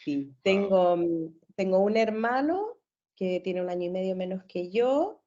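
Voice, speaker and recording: A Chilean woman in her forties, a therapist talking casually as a guest, cheerful and quick to laugh, with frequent fillers. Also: medium pitch, very animated, mellow, muffled recording. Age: 40s. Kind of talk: casual conversation. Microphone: video call.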